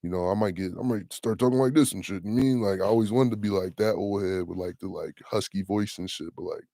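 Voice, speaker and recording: A young man in his twenties, a rapper with a deep voice, talking casually and loosely in an interview. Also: mellow, dry recording, studio quality. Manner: laid-back, slangy, drawn-out affirmations. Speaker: rapper, guest.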